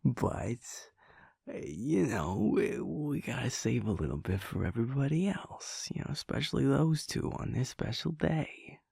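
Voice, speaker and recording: A man voicing an affectionate, teasing, cocky young werewolf boyfriend in an intimate audio roleplay, murmuring informally in a gravelly, husky voice. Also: medium pitch, very animated, mellow, no echo, studio quality, heavily acted. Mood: playful, cocky. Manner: slow, murmuring, informal, swearing tenderly.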